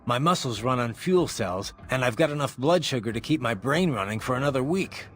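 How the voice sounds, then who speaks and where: A man's voice: medium pitch, animated, fairly quick, close to an everyday voice. A man voicing an earnest, dry cyborg mercenary in an English-language video game. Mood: casual, curious. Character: young adult male cyborg mercenary, earnest, dry and indignant. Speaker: field operative.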